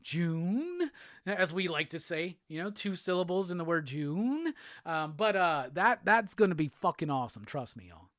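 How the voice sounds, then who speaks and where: A man from Alabama with a Southern accent, hosting a casual live show and answering chat comments in a relaxed, rambling way. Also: high voice, moderate pace, dry recording, studio quality. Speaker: host.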